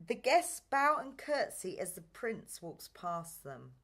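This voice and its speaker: Woman narrator with lively, varied intonation. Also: mid-range voice, takes it slowly. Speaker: narrator of a radio play. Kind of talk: scripted stage-direction narration.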